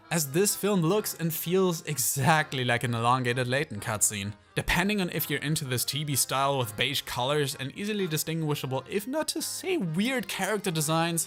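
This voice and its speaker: A man's voice: mid-range voice, fairly bright tone. Man narrating a scripted video review. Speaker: video essayist and host. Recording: music behind the voice. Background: background music.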